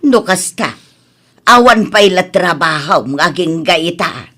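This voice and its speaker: An actor playing an elderly mother in a comedy drama, speaking very slowly in a sharp, bright voice. The old character is nosy and draws out each question. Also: medium pitch, lively intonation. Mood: inquisitive.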